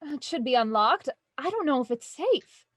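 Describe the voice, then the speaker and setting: A well-bred young heiress in a period radio drama. Her voice is high and closely recorded, very dry of room sound, with polite, proper phrasing and an anxious, easily flustered edge. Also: big pitch swings, normal speed, no room sound. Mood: polite, uneasy. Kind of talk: audio drama acting, Victorian murder mystery radio program.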